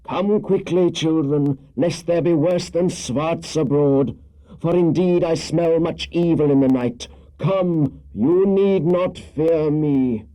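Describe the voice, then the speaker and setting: An ancient, protective wizard from a children's storybook. He declaims grandly in archaic phrases, very slowly, in a raised but dark, husky voice. He sounds commanding and grave. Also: a little high, pitched above the performer's own voice, low-quality recording. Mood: urgent, reassuring. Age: older.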